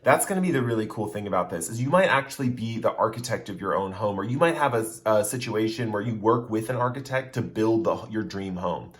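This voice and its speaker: A man's voice: lowish voice, expressive, fairly quick, hardly any pauses. A man giving a casual pick-a-card tarot reading, talking straight to the viewer in a loose, rambling way that restates its points. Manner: chatty, speaks straight to the viewer. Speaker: tarot reader.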